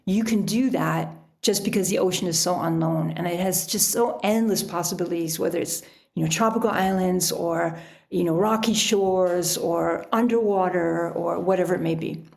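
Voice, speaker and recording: A woman's voice: fairly low pitch, moderate pace, gravelly, darkish tone. A middle-aged American woman talking conversationally as an interview guest, explaining things with frequent "you know" asides. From United States. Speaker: podcast guest, author and sailor.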